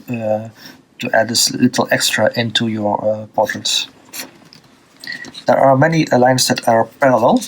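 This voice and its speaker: A man from Belgium with a Dutch accent, teaching a drawing lesson off the cuff, pausing often with fillers and restarts while he draws. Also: medium pitch, a bit bright, slight background noise, low-quality recording. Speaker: art teacher. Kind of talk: unscripted drawing tutorial.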